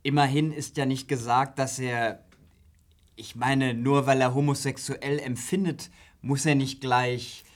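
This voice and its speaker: An actor playing an awkward middle-aged father in a radio play, stiffly paternal and halting as he tries to stay tolerant. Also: medium pitch, fairly even intonation, natural voice. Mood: stiffly reassuring.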